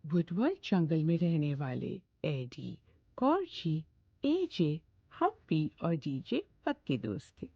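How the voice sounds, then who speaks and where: Slow, expressive woman narrating. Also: low-pitched, no room sound, lo-fi sound. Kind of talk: storybook narration for children.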